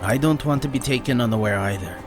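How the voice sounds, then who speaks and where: A man voicing a resolute ninja master in a fantasy audiobook, speaking in an earnest, measured way. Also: mid-range voice, over music, pitched above the performer's own voice, lightly acted. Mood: earnest, grave. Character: resolute male ninja master leading weary townsfolk. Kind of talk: dramatised fantasy audiobook character.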